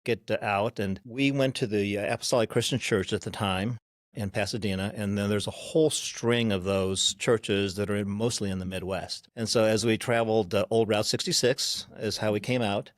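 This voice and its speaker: Older guy in his 60s, lowish voice, slow storytelling with lots of ums, dry sound. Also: fairly even intonation, no echo. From Korea. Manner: unhurried, pausing with ums while recalling. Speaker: guest. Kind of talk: storytelling interview.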